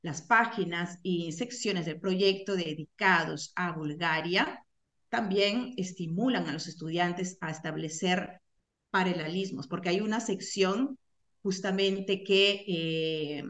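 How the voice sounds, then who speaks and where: A woman's voice: on the low side, a bit bright. A middle-aged woman from Peru with a Peruvian Spanish accent, presenting a teaching webinar over a video call, speaking with frequent fillers and self-corrections.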